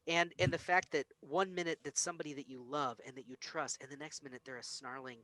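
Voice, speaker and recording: A man's voice: on the high side, fairly even intonation, fast, sharp tone. A man hosting a casual film discussion podcast, talking in an enthusiastic, emphatic way. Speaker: podcast host.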